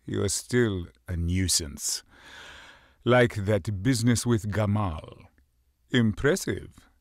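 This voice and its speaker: An actor playing a smug, sneering older-brother warlord in a video game, mocking and drawling. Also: male voice, on the low side, slow, no room sound, clean studio sound. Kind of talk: video game villain acting.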